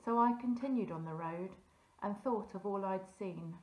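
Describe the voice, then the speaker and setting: Woman, poetry recitation, echoey room, medium pitch. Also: normal speed, a little mellow. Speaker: church group leader reading aloud. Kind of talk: ballad poem recitation.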